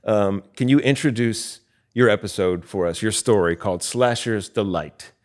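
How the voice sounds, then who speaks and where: A man's voice: on the low side, normal speed. A man hosting a podcast, chatting casually with a guest and introducing a show. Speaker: podcast host.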